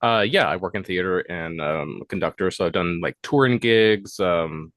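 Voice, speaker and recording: A man's voice: low-pitched, moderate pace, fairly bright tone, no room sound. A man, a stage manager and conductor, chatting casually with lots of ums and restarts. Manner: hesitant, many ums and restarts. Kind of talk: casual chat.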